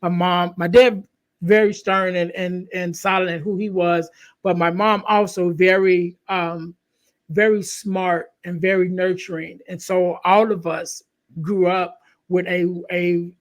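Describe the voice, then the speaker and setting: Soft-voiced man hosting a podcast. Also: high-pitched, measured pace. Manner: animated, frequent "you know what I mean". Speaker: podcast host. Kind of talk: casual conversational interview.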